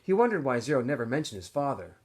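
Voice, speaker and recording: A man narrating a novel aloud in an expressive storytelling style, as if for students. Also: medium pitch, fast, husky. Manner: animated storyteller. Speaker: teacher or school staff reading aloud to students.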